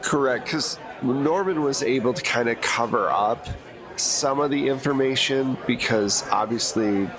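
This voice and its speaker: A man narrating as game master in a tabletop role-playing session, explaining scenes in a relaxed, laid-back way. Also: fairly low pitch.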